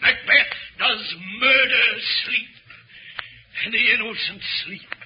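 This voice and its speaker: Slow, tormented nobleman, old radio tragedy. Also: very high-pitched, slight background noise. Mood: anguished. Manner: declamatory, grave, theatrical. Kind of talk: 1940s radio Shakespeare acting. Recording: dull lo-fi 1940s radio recording.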